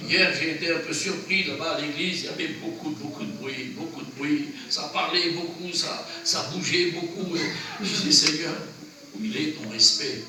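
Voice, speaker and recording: A man's voice: a little high, moderate pace. A man preaching a sermon in church, who has a cold and a runny nose, exhorting earnestly in short phrases. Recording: very echoey church, from far off, noisy.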